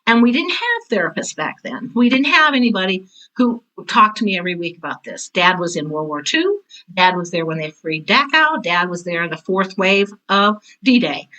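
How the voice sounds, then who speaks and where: A woman's voice: medium pitch, moderate pace, muffled recording. An older woman in her sixties or beyond, speaking as an interview guest in a candid, blunt, conversational way, often acting out quoted remarks. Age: 60s or older. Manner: blunt, animated storytelling with self-quotes. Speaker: interview guest, veteran theater teacher.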